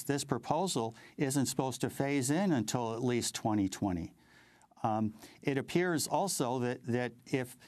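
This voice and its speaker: Older american guy being interviewed, halting and measured, medium pitch, very dry sound. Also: darkish tone, no echo. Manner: halting, hesitant, measured delivery with repeated words. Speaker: interview guest, advocacy group president. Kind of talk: interview commentary.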